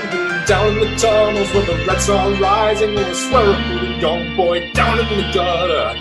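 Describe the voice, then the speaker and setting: A woman's voice: medium pitch, fairly even intonation, a little slow, over loud music. A woman singing a theatrical rock ballad with dramatic delivery. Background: loud musical backing.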